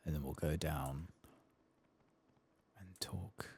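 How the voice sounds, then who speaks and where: A soft-spoken man hosting an ASMR game playthrough, hushed and unhurried, sometimes slipping into whispers. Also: extremely low pitch, a little hiss or hum. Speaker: ASMR gaming host.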